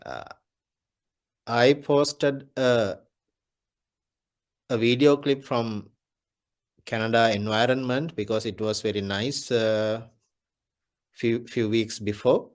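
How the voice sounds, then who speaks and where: Slow, deliberate male monk. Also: medium pitch, fairly even intonation. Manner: slow, deliberate, repeats key phrases. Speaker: Buddhist monk. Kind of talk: Dhamma talk.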